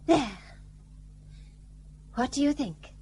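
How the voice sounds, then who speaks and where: A woman's voice: high-pitched, expressive, slow, mellow, a little hiss or hum, full character voice. An actress playing a flirtatious, genteel colonial British lady who wheedles and feigns helplessness in a period radio play. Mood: coquettish.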